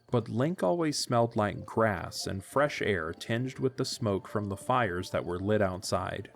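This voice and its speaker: A man narrating a scripted story as the narrator of an audio drama. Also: on the low side.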